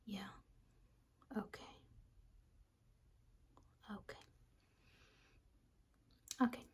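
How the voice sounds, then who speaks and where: Slow, whispering woman in ASMR doctor roleplay. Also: a little high. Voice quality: breathy whisper, mostly unvoiced. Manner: gentle, reassuring, unhurried explaining. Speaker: ASMR performer playing a doctor. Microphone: close ASMR microphone.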